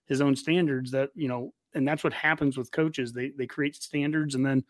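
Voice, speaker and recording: A man's voice: mid-range voice, steady tone, normal speed, no echo. Podcast host, American man in his forties, enthusiastic and rambling sports talk, very dry recording. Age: 40s. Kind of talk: casual sports chat.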